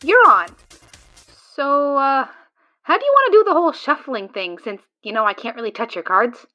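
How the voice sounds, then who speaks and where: A woman's voice: high voice, very animated, moderate pace, bright, forceful tone, highs cut off, soft background music, full character voice. A woman playing a brash, teasing and loyal friend who reassures and boasts. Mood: confident. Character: Rizara, confident loyal friend and card gamer. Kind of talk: fan audio drama acting.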